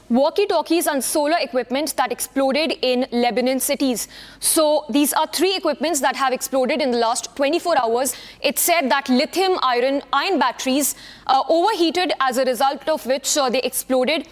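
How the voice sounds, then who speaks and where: A woman's voice: sits high, big-room sound. A woman anchoring a live news bulletin, reading it loosely with many fillers and restarts.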